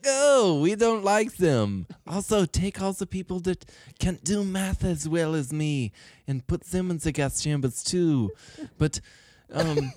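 Lively joking comedy podcast man. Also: high-pitched, no room sound. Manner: joking, laughs often, does goofy voices. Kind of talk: casual comedic chat.

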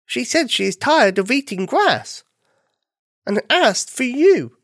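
A woman voicing a small, earnest young male bunny in a children's story, anxious and rushed, with a pleading manner. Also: on the high side, big pitch swings, no room sound, studio quality, voice pushed up, heavily acted. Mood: eager.

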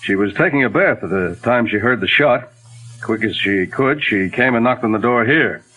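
An actor playing a plain-spoken, cooperative police captain in a 1940s radio detective drama. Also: male voice, mid-range voice, animated, normal speed, bright, forceful tone, slightly rough, low-quality recording. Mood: businesslike. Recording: old 1940s radio recording.

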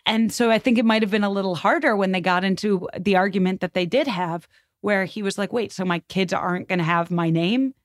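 A woman speaking as a podcast guest, chatting casually about history in an animated, colloquial way full of "like" and acted-out asides. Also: medium pitch, fairly quick, dry recording, studio quality. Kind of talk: casual conversational commentary on history.

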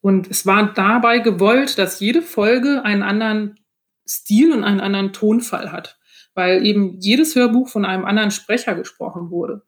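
A woman with a mid-pitched voice talks as a guest author in a relaxed interview. She feels her way through sentences in a conversational, unscripted way. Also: normal speed. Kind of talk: casual interview talk.

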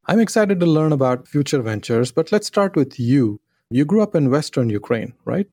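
A man hosting a podcast, opening with formal introductions and asking questions in a measured way. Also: a little high, very animated, mellow, breathy edge, dry recording, clean studio sound. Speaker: podcast host. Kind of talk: podcast interview.

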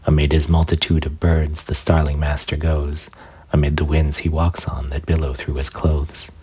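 A man reading poetry aloud in a measured, solemn and lyrical delivery. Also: rumbling low, level tone, dark tone, breathy edge. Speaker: poetry reader.

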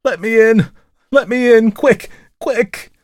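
Man acting a frantic, high-pitched dying notary. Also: slow pace, no echo, clean studio sound. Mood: frantic pleading. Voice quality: strained, breathless. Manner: melodramatic pleading. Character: fear-stricken hypochondriac notary, groaning and frantic, convinced he is dying.

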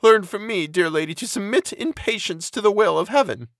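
A man voicing a gentle condemned young woman in an audiobook, mournful, resigned and pious, speaking with earnest tenderness in formal old-fashioned phrases. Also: high voice, expressive, a little fast, no room sound, clean studio sound, pitched above the performer's own voice. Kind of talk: dramatic audiobook character reading.

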